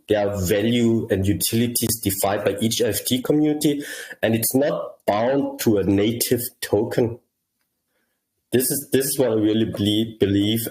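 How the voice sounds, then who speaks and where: A man with a German accent, the guest on a podcast interview, talking in a halting way with many repeated words. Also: fairly low pitch, darkish tone, reverberant, rough recording. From Laos. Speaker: guest, project creator. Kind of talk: casual interview talk.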